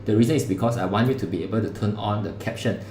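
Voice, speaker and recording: A man's voice: on the low side, rapid-fire, a little mellow. A male lecturer, teaching a class over a livestream in a casual, chatty way. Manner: casual, conversational teaching with local particles. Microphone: streaming setup mic. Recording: echoey room, faint background hum.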